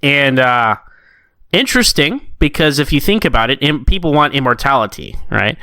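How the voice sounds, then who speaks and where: Male podcast co-host, crisp and bright, close dry sound. Conversational critic who acts out thoughts. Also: mid-range voice, lively intonation, moderate pace, no echo. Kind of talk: casual, conversational story critique.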